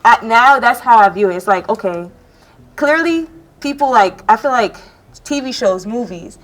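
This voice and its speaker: A young woman from Jamaica talks in a panel discussion. Her voice sits a little high. She tells her story animatedly and jumps up in pitch when she acts out what people said to her. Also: animated, normal speed, low-quality recording.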